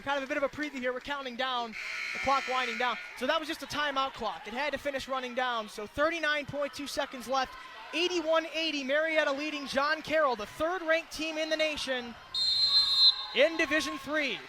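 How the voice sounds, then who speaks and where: A man giving energetic live radio play-by-play of a basketball game in clipped, running descriptions. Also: very high-pitched. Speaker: play-by-play announcer. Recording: live gym broadcast, clear background noise. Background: gym ambience with bouncing basketballs.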